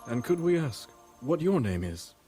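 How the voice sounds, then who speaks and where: A man playing a calm, dignified, gently wry king in a video game cutscene, speaking in a measured way. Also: mid-range voice, close to an everyday voice. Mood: wry, courteous. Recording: faint music behind the voice.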